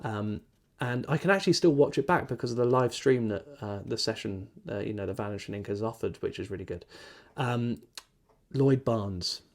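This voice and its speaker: A man's voice: lowish voice, normal speed, darkish tone. A man casually recapping an event in a rambling way, with many ums and self-corrections. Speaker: magician reviewing a convention.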